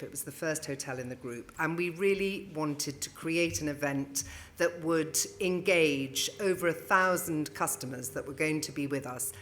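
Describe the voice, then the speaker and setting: Low-voiced middle-aged woman on a panel. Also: a bit bright. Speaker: brand adviser, panel speaker. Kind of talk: conference panel talk. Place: conference stage. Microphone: handheld microphone.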